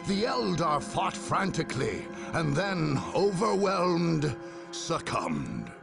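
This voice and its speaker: A man narrating a game as a grave, deliberate, portentous chronicler of war. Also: on the high side, wildly swooping pitch, gravelly, music in the background, full character voice. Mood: grave, ominous. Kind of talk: dramatic scripted narration.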